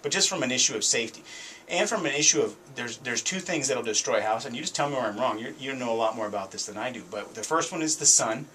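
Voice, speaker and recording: A man's voice: mid-range voice, animated, fast talker, sharp tone, a little hiss or hum, poor audio. A middle-aged American man hosting a radio show, telling stories in a casual, conversational and emphatic way. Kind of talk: casual radio talk, storytelling. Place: small foam-treated radio studio, indoors. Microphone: studio microphone on boom arm.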